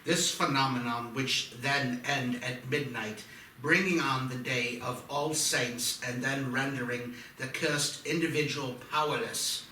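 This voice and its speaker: Solemn, obsessive horror-film doctor played by a man, sharp bright tone, echoey room with faint noise. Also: mid-range voice, steady tone, unhurried, far from the mic. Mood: solemn, studious. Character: grave, obsessive male doctor, horror screenplay. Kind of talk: scripted dramatic reading.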